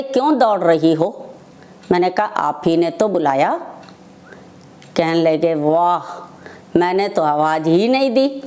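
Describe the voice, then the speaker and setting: An older woman shares personal stories of her faith. Her voice sits slightly low for a woman. She speaks very slowly, breaking her account into short phrases with pauses between them. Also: a little mellow. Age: older, over 60. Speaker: devotee sharing personal testimony. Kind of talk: devotional personal storytelling.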